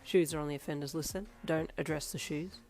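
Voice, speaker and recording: A protective young boyfriend teases in a low, hushed voice, as a woman narrator puts him on. He sounds calm and close, with faint music behind. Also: fairly quick. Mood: teasing.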